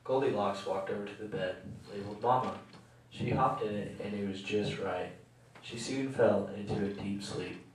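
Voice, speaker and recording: Guy narrating a puppet show story, kinda low voice, super echoey room. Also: normal speed. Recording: very echoey room, distant, faint noise.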